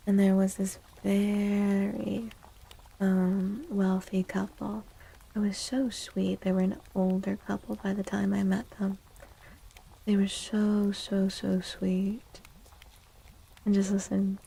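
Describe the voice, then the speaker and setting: Female ASMR streamer, soft and breathy near-whisper, fairly even melody with some creak, casual and gentle, close-miked. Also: mid-range voice, poor audio. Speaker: live streamer hosting chat. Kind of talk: soft-spoken ASMR, casual live chat.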